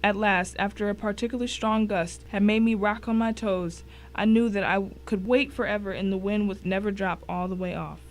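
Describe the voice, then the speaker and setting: A woman's voice: mid-range voice, steady tone, normal speed, hardly any pauses, close to an everyday voice. A woman playing a tense first-person narrator in a dramatic suspense story. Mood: grim, suspenseful.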